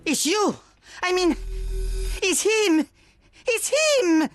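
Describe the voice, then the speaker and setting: Fussy shopkeeper woman, animated, swooping pitch. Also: very slow, soft background music, exaggerated voice. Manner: fussy, excitable. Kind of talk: video game voice acting.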